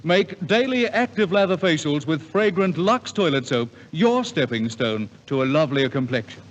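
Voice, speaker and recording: A man's voice: a little high, very animated, normal speed. A man reading a 1950s radio advertisement as an announcer, with an emphatic, polished sales delivery. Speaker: commercial announcer. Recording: muffled, reverberant 1950s radio recording.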